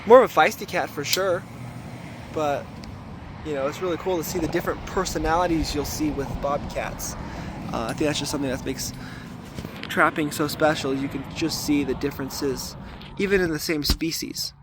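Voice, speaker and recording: A man with a somewhat higher voice, raspy today, speaks in an easygoing, thoughtful way to a handheld camera out in the open. He fills his pauses with ums and restarts. Faint outdoor ambience sits behind him. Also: noisy background. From southern Arizona. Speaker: trapper filming his trapline. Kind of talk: casual outdoor vlog narration. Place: outdoors in desert washes.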